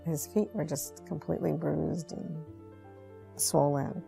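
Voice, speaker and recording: Low, gravelly-edged woman in interview. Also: steady tone, slow. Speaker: sponsor interviewed about a young man. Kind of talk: reflective documentary interview. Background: soft music.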